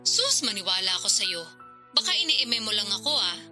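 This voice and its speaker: A woman's voice: medium pitch, sing-song, fairly quick, over loud music, close to an everyday voice. An actress playing a pushy, sarcastic older sister from the province in a radio drama, needling her brother for money. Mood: sarcastic, teasing.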